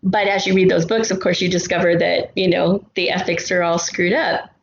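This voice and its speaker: A woman's voice: fairly low pitch, level tone, moderate pace, gravelly, a little hiss or hum. A woman talking reflectively in a casual discussion as a guest. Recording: echoey, slightly muffled room.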